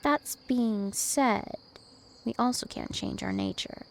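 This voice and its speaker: Casting: woman as an immortal vampire princess for ASMR roleplay, teasing and possessive, creaky voice over noticeable insect-like night ambience. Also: a little high, a little hiss or hum. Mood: calm, probing. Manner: slow, deliberate, teasing and possessive.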